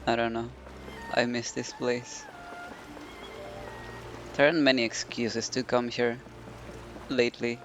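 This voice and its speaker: A man's voice: mid-range voice, little pitch movement, measured pace, long pauses. A man giving casual commentary as he streams a game. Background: game music.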